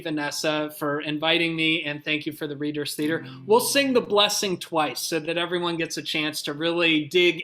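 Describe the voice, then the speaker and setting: A man speaking as a cantor on a video call, explaining a blessing to a community in measured, deliberate phrases. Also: fairly high pitch, sharp tone. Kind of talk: explanatory talk introducing a blessing.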